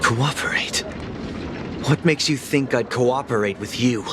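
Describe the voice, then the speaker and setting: An actor playing a proud, suspicious family head in a video game, curt, stern and demanding. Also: male voice, mid-range voice, measured pace, noisy background, lightly acted. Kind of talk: video game cutscene acting. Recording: loud music behind the voice.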